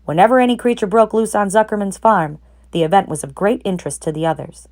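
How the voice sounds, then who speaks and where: A woman narrating a children's storybook aloud in an expressive reading style. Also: medium pitch, fast. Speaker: narrator, read-aloud reader.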